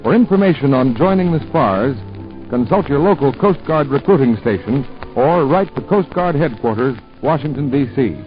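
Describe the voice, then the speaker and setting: A man announcing a 1940s radio commercial, smooth, persuasive and emphatic. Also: mid-range voice, lively intonation, normal speed. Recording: muffled 1940s radio broadcast with music.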